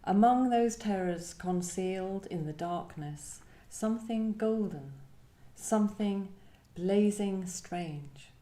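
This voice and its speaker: A woman's voice: mid-range voice, slow, few pauses, warm tone. A woman poet speaking at a live event as she introduces and reads her work, thoughtful and a little tentative. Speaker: guest poet. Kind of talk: live poetry event, introducing and reading poems. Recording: echoey room.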